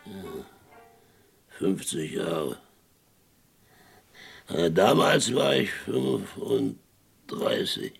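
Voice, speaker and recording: An actor playing a boastful, haunted, whisky-drinking old former sheriff in his eighties, halting and dramatic, in a German western radio play. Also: on the low side, lively intonation, faint music behind, heavily acted. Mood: brooding, bitter. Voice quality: gravelly. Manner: halting, repeating himself, dramatic.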